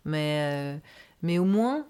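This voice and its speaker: A young woman with a strong Parisian accent and a notably low voice tells her story in a loose, chatty way. She fills her talk with little hesitations and slips into voices when quoting people. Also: slow pace, smooth. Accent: Parisian French. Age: 20s. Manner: animated, many fillers, acts out quoted lines. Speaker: singer and streamer guest. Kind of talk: casual conversational interview.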